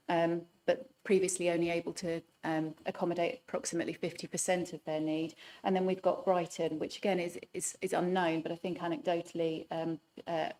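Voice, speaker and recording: A soft-spoken woman making a formal statement at a public hearing, pausing often with hesitant ums. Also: lowish voice. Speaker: hearing participant.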